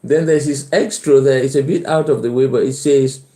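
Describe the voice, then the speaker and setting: A middle-aged Cameroonian man hosts a casual live stream from an echoey room at home. His voice is mellow and relaxed at a medium pitch. He talks off the cuff to his viewers, often repeating phrases to drive them home. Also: fairly quick. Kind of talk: casual live chat, reading out and answering comments. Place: bedroom at home. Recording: roomy indoor echo.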